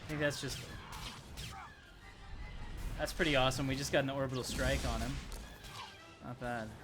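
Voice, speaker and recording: A man's voice: medium pitch, fairly even intonation, normal speed. A man giving casual live gameplay commentary as a streamer, narrating his own moves in a self-deprecating way. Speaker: game streamer. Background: game music.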